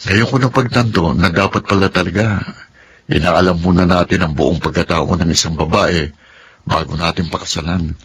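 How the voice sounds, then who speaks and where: An actor playing a frustrated young husband of thirty who complains in an exasperated way. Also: male voice, sits low, fairly even intonation, moderate pace, fairly bright tone, slight background noise. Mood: rueful. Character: young husband Nathan, 30, frustrated, wants independence. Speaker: husband in radio drama. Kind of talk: Filipino radio drama acting.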